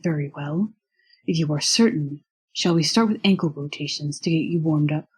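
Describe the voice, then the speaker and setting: A performer voicing a formal, earnest young male college roommate with a husky voice and stilted, precise diction, in an audio story reading. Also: mid-range voice, moderate pace, rough recording. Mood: concerned, formal.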